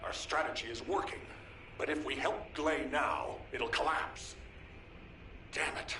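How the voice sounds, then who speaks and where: A man's voice: fairly high pitch, unhurried, pushed and bright. A man voicing a strained commander in a video game who weighs a hard choice, speaking in a tense, clipped way. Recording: echoey, distant, faint noise.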